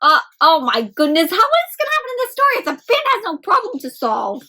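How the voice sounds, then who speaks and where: A storybook narrator at the end of his patience, played by a woman reader in a high voice. He is flustered and fretful, protesting with big swings of pitch. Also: huge pitch swings, fast, pushed and bright, slight background noise, full character voice. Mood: panicked. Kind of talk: children's storybook read-aloud.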